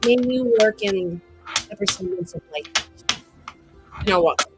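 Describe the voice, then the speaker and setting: A woman's voice: mid-range voice, lively intonation, slow pace, loud music behind the voice. A woman voicing a sweetly preachy, passive-aggressive young woman in a hesitant, filler-laden way. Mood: pointedly sweet.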